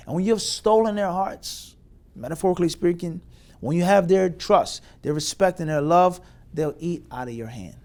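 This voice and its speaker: A man's voice: a little high, a little mellow. A man from the United States, a mufti, giving graduates an emphatic spoken lecture with long rhetorical lists and Arabic religious terms.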